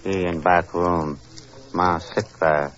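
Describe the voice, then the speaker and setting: An actor playing a servile, guarded opium den attendant, terse broken English, in a 1940s radio drama. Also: male voice, low-pitched, steady tone, slow, fairly bright tone. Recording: muffled, noisy 1940s radio recording.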